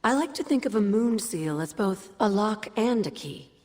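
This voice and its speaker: An actress playing a wry older sorceress mentor in a game cutscene, measured and knowing, with fond teasing. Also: female voice, medium pitch, lively intonation, darkish tone, big-room sound, natural voice. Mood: solemn, knowing. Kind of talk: game cutscene dialogue, expository storytelling.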